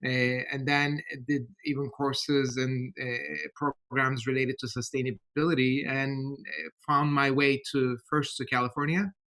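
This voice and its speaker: Man with a Turkish accent, measured. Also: medium pitch, flat delivery, bright, forceful tone, poor audio. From Turkey. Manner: measured, thoughtful, many hesitations. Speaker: interview guest. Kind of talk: podcast interview.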